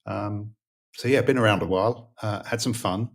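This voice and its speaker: Man, British accent, fifties, low-pitched voice, relaxed, halting with fillers. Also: vocal fry. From Britain. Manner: relaxed, many fillers and pauses. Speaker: guest. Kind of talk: interview conversation.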